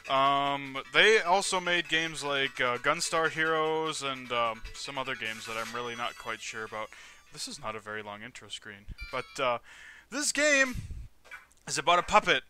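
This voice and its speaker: A man with a slightly high voice hosts a casual let's play over clearly audible game music. He describes what happens on screen in a rambling way, often doubling back to correct himself. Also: pushed and bright. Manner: rambling, self-correcting, narrates on-screen action. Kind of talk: casual video game commentary. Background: video game music.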